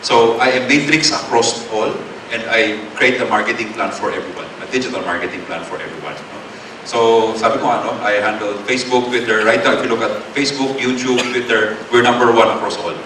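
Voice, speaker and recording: A man's voice: on the low side, a little fast. A man with a Filipino accent giving a seminar talk to students, chatty, with many fillers and tag questions. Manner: code-switching, tag questions, filler-heavy. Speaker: guest speaker. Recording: echoey hall, distant mic, noisy.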